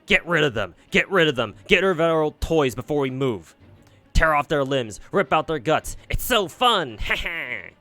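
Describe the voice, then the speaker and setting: A man's voice: medium pitch, normal speed, faint music behind, natural voice. A man doing giddy cruel fairies. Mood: gleeful. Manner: giddy, sing-song, excited. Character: gleeful cruel fairy mob, childlike and bloodthirsty.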